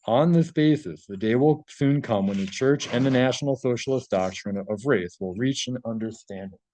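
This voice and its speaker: A man's voice: medium pitch, normal speed, mellow, poor audio. A man reading a translated article aloud and adding his own informal commentary.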